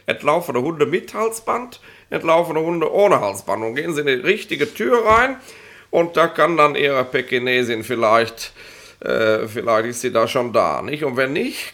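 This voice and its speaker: An actor playing a fussy, officious police inspector in a 1960s radio play, hesitant and colloquial, repeating himself. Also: male voice, on the high side, animated, moderate pace, fairly bright tone. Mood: brisk, bureaucratic. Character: fussy, flustered male police inspector and petty bureaucrat.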